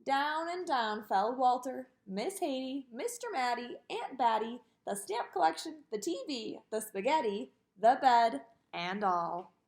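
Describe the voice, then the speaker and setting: A woman reading a children's picture book aloud in an expressive way, with sing-song delivery on repeated lists. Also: high voice, a little slow, hardly any pauses. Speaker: storybook reader.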